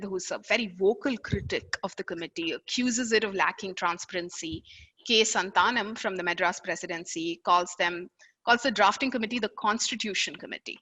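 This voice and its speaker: A woman's voice: mid-range voice, sharp tone, breathy edge, rough recording. A woman from India, a native Tamil speaker with an Indian accent, introducing a discussion as its moderator.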